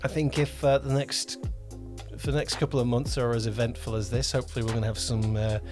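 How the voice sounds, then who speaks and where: A man's voice: mid-range voice, level tone, quick pace, music in the background. A man hosting a casual producer podcast, talking emphatically and repeating words for stress. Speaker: podcast host, audio drama producer and director.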